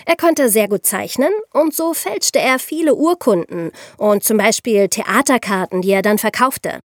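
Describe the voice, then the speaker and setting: A woman with a medium-pitched voice tells history as a podcast co-host. She explains things conversationally and drops in informal asides. The recording is dry, with very little room sound. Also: animated, a little slow, no echo.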